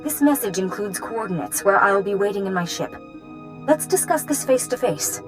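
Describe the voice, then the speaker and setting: A woman's voice: medium pitch, animated, normal speed, music in the background, lightly acted. A woman playing an imperious, blunt adversary in a video game, speaking formally and haughtily. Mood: stern, impatient. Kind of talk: video game dialogue acting.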